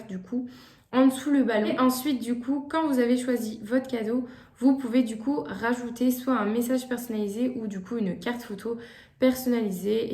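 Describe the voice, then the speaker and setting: A woman's voice: fairly high pitch, darkish tone. Hesitant young woman in an echoey room. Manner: hesitant, many "euh" fillers and restarts. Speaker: vlogger presenting gift ideas. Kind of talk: casual chat to camera.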